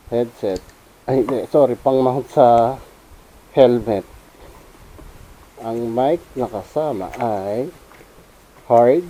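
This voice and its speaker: A man's voice: mid-range voice, dark tone, a little hiss or hum, poor audio. A Filipino man giving a casual, unscripted gear review as a motovlogger, informally mixing in English. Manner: informal, switches between Tagalog and English.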